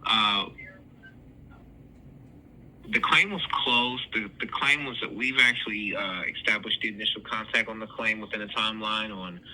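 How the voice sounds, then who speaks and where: Low, sharp-voiced man with husky edge. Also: moderate pace, poor audio. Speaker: insurance claims adjuster. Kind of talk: formal phone explanation. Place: phone call.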